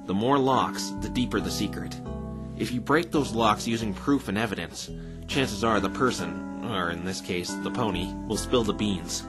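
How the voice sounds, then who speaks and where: A man's voice: fairly low pitch, normal speed, close to an everyday voice. A man playing an earnest, plain-spoken, wry male defense lawyer in conversational game-style dialogue. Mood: explaining. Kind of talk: fan dub of visual novel game dialogue. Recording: background music under the voice.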